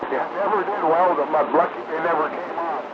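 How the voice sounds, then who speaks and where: A man's voice: fairly high pitch, quick pace. A man chatting casually over CB radio in an informal, blokey, sweary way. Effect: CB radio transmission. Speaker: CB radio operator. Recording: muffled CB radio, loud static noise.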